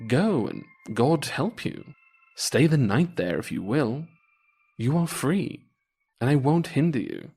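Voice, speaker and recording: Male storyteller as a storybook Tsar, imperious and full of warning, with varied pitch. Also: very animated, takes it slowly, a little mellow, husky, full character voice. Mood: resigned. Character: male Tsar, incredulous, cautioning ruler.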